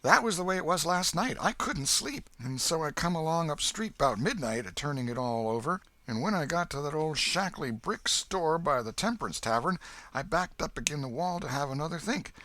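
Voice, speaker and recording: Man voicing a high-pitched, anxious country boy. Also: lively intonation, bright, forceful tone, no room sound. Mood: hesitant, earnest. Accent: rural American. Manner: rustic ungrammatical talk, anxious and halting. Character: frightened, ragged vagabond boy, humble and uneducated. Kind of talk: audiobook character voice.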